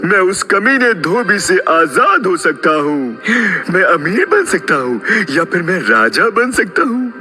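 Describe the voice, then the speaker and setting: A man voicing a foolish, excitable cartoon donkey who exclaims, whines and hesitates in a children's story. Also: high voice, unhurried, hardly any pauses, full character voice. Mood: eager.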